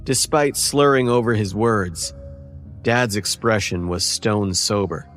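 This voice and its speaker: A man's voice: on the low side, takes it slowly, a bit bright. A man narrating a scripted first-person horror story as its narrator. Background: faint music.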